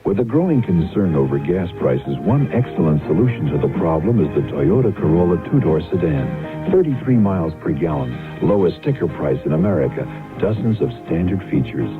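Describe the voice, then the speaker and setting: A man with a deep, lively voice delivers an upbeat car commercial. Music plays clearly underneath, and the whole thing sounds tinny and phone-like, as on a 1970s radio ad. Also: moderate pace. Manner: upbeat sales pitch. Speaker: commercial announcer. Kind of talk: car advertisement read.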